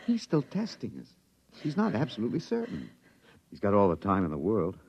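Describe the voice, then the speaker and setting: An actor playing a tense, hunted husband on the run in a 1950s radio drama, urgent and protective. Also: male voice, lowish voice, wildly swooping pitch, a little fast, gravelly, a little mellow, husky, no echo, lo-fi sound, full character voice. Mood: grim, anxious. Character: tense, hunted husband, a fugitive time traveler.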